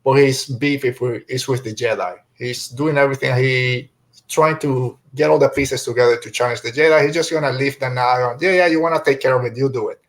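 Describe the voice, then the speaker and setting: A man hosting a podcast in a casual fan chat, talking in a halting, rambling way with trailing thoughts. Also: medium pitch, steady tone. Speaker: podcast host.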